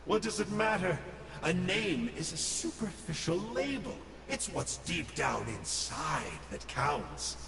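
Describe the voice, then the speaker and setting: A man's voice: high voice, big pitch swings, slow, creaky, big-room sound, far from the mic, noisy background, exaggerated voice. An actor playing a sly, theatrical male Abyss scholar who talks in a glib, mocking way.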